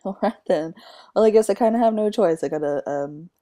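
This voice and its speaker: A woman's voice: mid-range voice, brisk, warm tone. A woman casually commenting while playing a video game, chatty and thinking aloud. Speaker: gamer. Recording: commentary over game audio with music.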